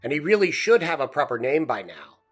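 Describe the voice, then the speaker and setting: A man's voice: on the high side, sing-song, brisk, slightly rough, soft background music. A man voicing an earnest, curious little girl in a children's storybook, thoughtful and sighing. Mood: resigned.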